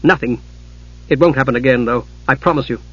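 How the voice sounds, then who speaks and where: A man's voice: medium pitch, fairly quick, a bit bright, breathy edge, dry recording, highs cut off. A man voicing an earnest, deferential young male apprentice mage, as in a fantasy audiobook.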